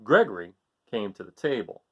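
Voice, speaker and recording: Man, children's read-aloud, animated delivery, swooping intonation, questions to listeners. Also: on the low side, moderate pace, slightly rough, dull audio.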